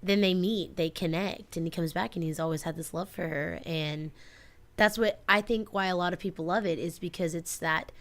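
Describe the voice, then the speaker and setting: A woman's voice: lowish voice, normal speed. A woman co-hosting a radio show in casual chat, telling stories in an earnest, rambling way. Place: community radio studio.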